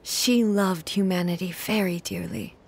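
An actress playing a solemn, dignified female ruler deity in an anime game, speaking in a formal, measured way. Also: medium pitch, slight background noise, close to an everyday voice. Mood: tender. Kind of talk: scripted anime game voice acting. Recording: music under the voice.

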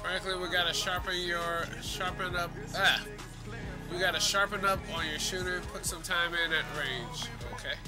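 A man's voice: high-pitched, steady tone, normal speed, over loud music. A young man with a congested voice giving loose, rambling casual commentary over a video game, swearing now and then. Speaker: gaming commentator.

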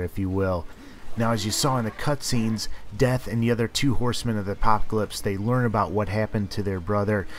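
A man's voice: fairly low pitch, a little hiss or hum. Laid-back man doing gameplay commentary. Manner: relaxed, conversational, leans on fillers like "kind of". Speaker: gaming channel host. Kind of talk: casual let's play commentary.

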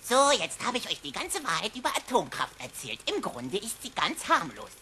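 A woman's voice: medium pitch, huge pitch swings, normal speed, pushed and bright. A woman voicing a perky, glib atom mascot presenting a parody educational cartoon film in a jaunty way. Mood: breezy, glib. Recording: faintly noisy cassette.

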